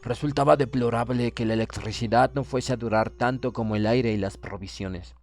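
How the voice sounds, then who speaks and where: A man narrates a literary tale in the first person. His voice sits in a middle register. The delivery is measured and formal, with a solemn, dramatic storytelling tone. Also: medium pitch, fairly even intonation, a little mellow. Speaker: audiobook narrator.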